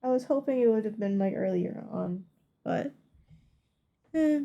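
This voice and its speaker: A woman's voice: fairly high pitch, expressive, measured pace, gravelly, dark tone, muffled recording. A woman livestreaming a game, chatting and reacting casually.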